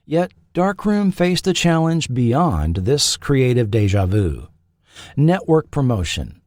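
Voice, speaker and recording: A man narrating a scripted documentary in a measured, formal storytelling manner. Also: medium pitch, a little mellow, no room sound, studio quality. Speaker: podcast host and narrator.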